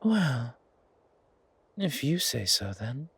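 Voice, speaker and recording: A man voicing a young predatory creature, a vampire's devoted son, teasing and polite in a breathy half-whisper for a horror audio drama. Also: medium pitch, sing-song, drawn-out words, a bit bright, no room sound, pitched above the performer's own voice, exaggerated voice. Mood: affectionate.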